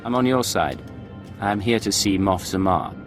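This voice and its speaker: An actor playing a composed, guarded male spy in a video game, with gravelly, clipped, deadpan delivery. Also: low voice, moderate pace, music in the background. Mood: guarded, businesslike. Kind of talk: video game cutscene acting.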